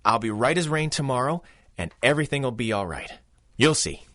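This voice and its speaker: Actor as a hesitant, troubled young constable. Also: male voice, mid-range voice, lively intonation, a little fast, fairly bright tone, dry recording, clean studio sound, close to an everyday voice. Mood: apologetic. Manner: hesitant, subdued, polite. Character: troubled, apologetic young male police constable. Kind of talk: 1930s radio drama acting.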